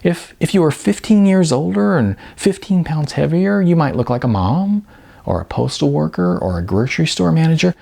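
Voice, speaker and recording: Guy doing a pushy lady agent, pitched up, husky, blunt and patronizing, audiobook style. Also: lively intonation, normal speed, warm tone. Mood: blunt, dismissive. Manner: emphatic, patronizing, chatty, uses endearments. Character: blunt, brisk middle-aged female modeling agent. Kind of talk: audiobook character dialogue.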